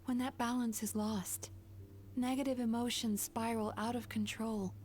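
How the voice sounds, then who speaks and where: An actress playing a calm, resolute young woman, a gentle nightmare healer in a video game, speaking in a composed, earnest way. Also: fairly high pitch, normal speed, slight background noise, lightly acted. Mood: grave.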